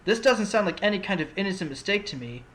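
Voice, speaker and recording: A man's voice: high-pitched, expressive, fast talker, fairly bright tone. A man voicing a cocky young fox defense lawyer, smug and pointed, in a fan audio drama. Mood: triumphant, accusing.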